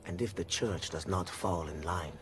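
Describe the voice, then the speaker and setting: A man playing a ruthless, possessive tyrant lord in a video game, menacing and mocking, moving between hiss and shout. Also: low voice, normal speed, lightly acted. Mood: cold menace. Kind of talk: dramatic video game voice acting.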